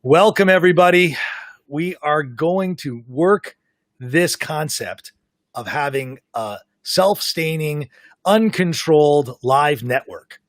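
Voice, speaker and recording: A man hosting a live podcast, talkative and self-described as the loudest mouth of the group. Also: fairly high pitch, lively intonation, slow pace, no echo, studio quality. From Canada. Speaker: podcast host. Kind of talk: casual live podcast chat. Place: home in South Florida.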